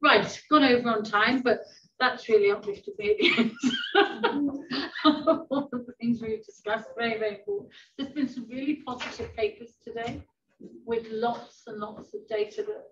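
A woman's voice: fairly high pitch, moderate pace, a bit bright, slight background noise. A woman chairing a committee meeting, upbeat as she wraps up business. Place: large meeting room. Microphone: far room microphone. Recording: echoey room from far off, dull.

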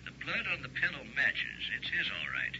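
Actor as a sharp, animated grave doctor. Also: male voice, a little high, moderate pace, exaggerated voice. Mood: grave, cautioning. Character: grave, authoritative male doctor. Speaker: radio drama actor. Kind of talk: early 1960s radio acting. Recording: muffled old radio recording with hiss.

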